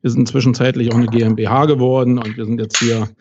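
A man in his late forties with a Berlin accent, telling his story as a podcast guest in a relaxed way with dry humor. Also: mid-range voice, fast, muffled recording, background noise. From West Berlin, Germany. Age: 40s. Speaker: podcast guest, SEO agency owner. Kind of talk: casual podcast conversation.

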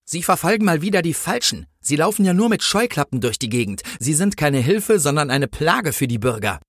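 A man's voice: medium pitch, big pitch swings, normal speed, darkish tone, husky, no echo, clean studio sound. A man voicing a pompous, easily indignant Christmas angel in a comic story, speaking in a solemn, preachy, formal way.

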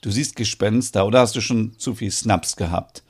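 A man's voice: fairly low pitch, normal speed, breathy edge. Man playing a dry, teasing male housemate. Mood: doubting.

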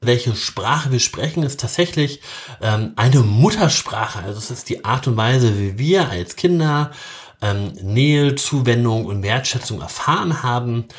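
A middle-aged man, a couples therapist, hosting his own podcast and calmly explaining a concept with occasional filler sounds. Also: mid-range voice, measured pace. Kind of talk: solo podcast monologue.

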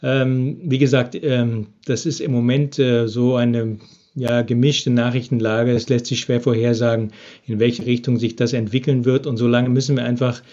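A man's voice: mid-range voice, flat delivery, normal speed, mellow. Hesitant man on a dull remote line. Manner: hesitant, frequent filler sounds. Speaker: business expert interviewed from Japan. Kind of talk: podcast interview answers.

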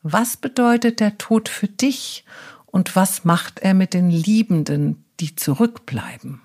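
A woman hosting a podcast, introducing her guest in measured, deliberate phrasing with a husky, breathy voice. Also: medium pitch, expressive, a little mellow. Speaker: podcast host. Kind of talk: introduction to a podcast interview, prepared narration.

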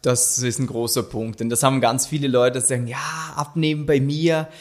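A man's voice: mid-range voice, steady tone, fairly quick. A man, a fitness coach, hosting an interview and prompting his guest with many affirming murmurs. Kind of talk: podcast interview.

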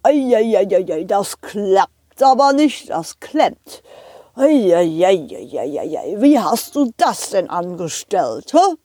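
A woman voicing a good-natured, fussy male train driver in a children's audio play, with a drawn-out, folksy way of talking. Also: medium pitch, big pitch swings, dark tone, no room sound, clean studio sound. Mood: fussing, kindly. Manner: drawn-out, chuckling, ends questions with "hä".

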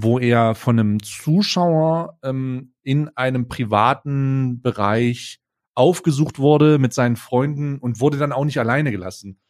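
Laid-back German podcast co-host. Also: male voice, mid-range voice, a little mellow. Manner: laid-back, halting with fillers and restarts. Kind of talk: casual chat.

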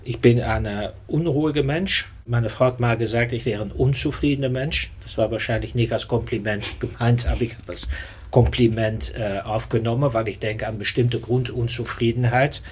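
A man in his fifties with a Dutch accent, a podcast guest talking in a reflective way and often pausing to find his words. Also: mid-range voice. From Netherlands. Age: 50s. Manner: reflective, searches for words with many fillers. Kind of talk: casual interview talk.

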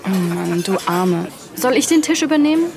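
A woman's voice: mid-range voice, sing-song, moderate pace, gravelly. A woman playing a caring restaurant coworker, speaking casually and with concern in a German audio drama. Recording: restaurant crowd behind.

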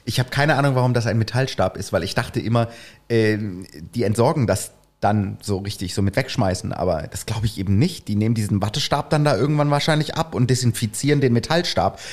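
A man's voice: on the low side, brisk. A man casually telling a long personal anecdote and acting out the voices of the people in it. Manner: animated anecdote-telling with acted-out quotes. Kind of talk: casual storytelling chat.

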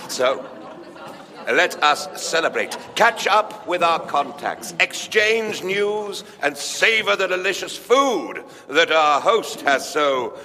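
An actor playing an aristocratic vampire prince in an audio drama, giving a pompous, ceremonious speech of welcome. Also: male voice, fairly high pitch, lively intonation, takes it slowly, bright, forceful tone, heavily acted. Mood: grandly welcoming. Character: aristocratic vampire prince, grandly welcoming his secret gathering. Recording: reverberant hall, faint background noise.

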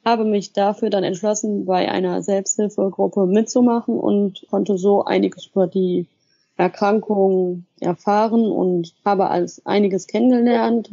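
Young woman, twenties, dark relaxed tone, average pitch, podcast participant, muffled audio. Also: creaky, no echo. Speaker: podcast participant, self-help group member. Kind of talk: conversational podcast talk.

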